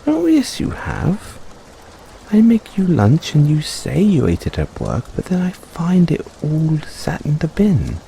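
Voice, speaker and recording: A man playing a caring, gently teasing boyfriend in a soft-spoken, playful comfort roleplay for an overworked partner. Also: a little high, huge pitch swings, unhurried, creaky, slight background noise, heavily acted. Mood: teasing, fond. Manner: soft-spoken, playful, coaxing, chuckles often.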